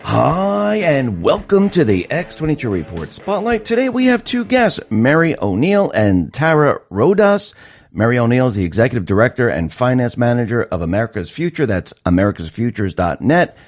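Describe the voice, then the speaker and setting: A man's voice: medium pitch, sing-song, normal speed. A man hosting an interview show, welcoming guests in an upbeat, emphatic way. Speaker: show host.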